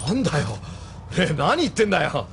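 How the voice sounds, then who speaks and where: An actor playing a cocky, hot-tempered young pilot thug with coarse, slangy speech in an audio drama. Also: male voice, fairly high pitch, very animated, brisk, vocal fry, noisy background. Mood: irritated. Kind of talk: Japanese audio drama acting. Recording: music behind the voice.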